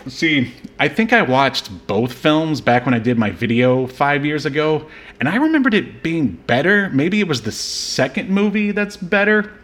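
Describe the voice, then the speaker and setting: A man hosting a podcast, chatting casually about films in a rambling, halting way. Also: fairly high pitch, animated, fairly bright tone. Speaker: podcast host. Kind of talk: casual film discussion podcast.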